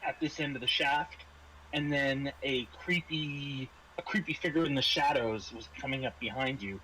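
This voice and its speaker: A man's voice: mid-range voice, normal speed, bright, forceful tone, low-quality recording. Man narrating a game, noticeable background noise. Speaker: game master. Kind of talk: casual tabletop game narration.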